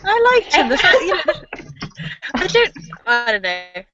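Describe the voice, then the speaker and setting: A woman chatting casually about books in a playful, informal, somewhat halting way. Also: sits high, huge pitch swings, loud music behind the voice. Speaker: guest on book discussion live show. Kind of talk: casual book chat. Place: video call live stream. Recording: dull lo-fi call audio.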